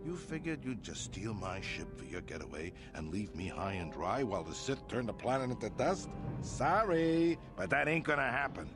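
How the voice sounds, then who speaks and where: An actor playing a smug, imperious crime boss in a video game, with a pompous, suavely threatening manner. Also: male voice, medium pitch, big pitch swings, moderate pace, few pauses, over music. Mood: alarmed, angry. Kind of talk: dramatic video game acting.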